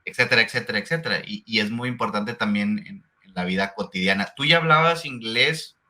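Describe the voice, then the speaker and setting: A man working as a franchise consultant, hosting a casual interview and talking with frequent restarts that turn into rapid rhetorical questions. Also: fairly low pitch, pushed and bright.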